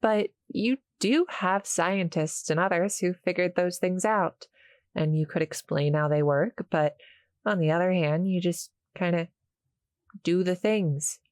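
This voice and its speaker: Woman as a small cuddle-monster girl, shy, friendly, soft-spoken, stammering, slightly low female pitch, dry intimate sound, audio roleplay. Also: no room sound, lightly acted. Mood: friendly, reassuring. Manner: nervous, halting, stammering, soft-spoken explaining. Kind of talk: roleplay audio acting addressed to the listener.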